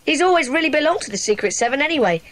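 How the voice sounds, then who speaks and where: A woman's voice: sits high, very animated, rapid-fire, a bit bright. A woman playing a wistful, good-natured schoolboy in a children's audio drama. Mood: wistful, brave.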